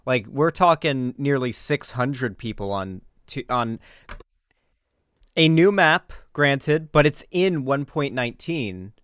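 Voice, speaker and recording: A man's voice: mid-range voice, lively intonation, takes it slowly, fairly bright tone, smooth, dry recording, clean studio sound. A man doing casual live stream commentary as he reacts to videos and chats with viewers, informal and sweary with gamer slang.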